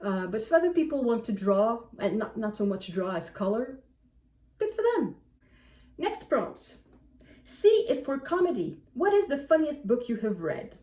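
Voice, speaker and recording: A woman book vlogger chatting casually to camera in an animated, self-deprecating way. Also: on the high side, moderate pace. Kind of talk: casual chat to camera, answering book prompts. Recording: echoey room, distant microphone.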